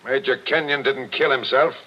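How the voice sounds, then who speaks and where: An actor playing a shrewd, plain-spoken captain with a blunt, knowing manner in 1940s radio drama style. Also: male voice, mid-range voice, steady tone, moderate pace, heavy background noise, close to an everyday voice. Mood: insistent. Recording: dull vintage 1940s radio recording.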